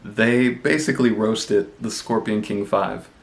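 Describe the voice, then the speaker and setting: Congested man with a gravelly low voice. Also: unhurried. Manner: relaxed, rambling, self-deprecating. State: congested with a cold. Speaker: booktube vlogger. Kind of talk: casual vlog chat.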